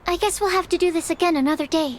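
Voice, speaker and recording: An actress playing an earnest, friendly and polite young woman in an animated game. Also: very high voice, rapid-fire, a little hiss or hum. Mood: earnest, caring.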